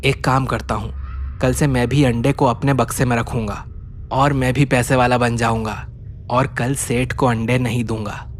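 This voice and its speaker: A man's voice: medium pitch, level tone, moderate pace, slight background noise, pitched below the performer's own voice, lightly acted. A man voicing a poor, humble, obliging village egg seller in a cartoon, with a deferential, explaining manner. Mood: puzzled, scheming.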